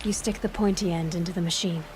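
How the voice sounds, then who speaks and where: A woman's voice: on the low side, steady tone, fast talker, lightly acted. Wry young huntress acted by a woman. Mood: wry, skeptical. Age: young adult. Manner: dry, guarded, skeptical. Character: wry, self-assured young woman hunter. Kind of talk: video game dialogue acting. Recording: music clearly behind the voice.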